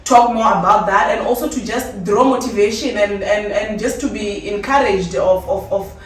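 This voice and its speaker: A woman's voice: mid-range voice, normal speed. A woman with a South African accent hosting a casual interview podcast, with an informal, playful, teasing manner. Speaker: host of an interview podcast series. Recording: echoey room, distant mic, faint noise.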